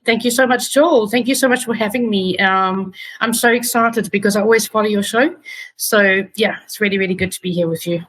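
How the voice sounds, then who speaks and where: A woman with a South African accent, a patient advocate telling her story in a relaxed interview with many fillers and restarts. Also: medium pitch, brisk. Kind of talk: casual interview talk.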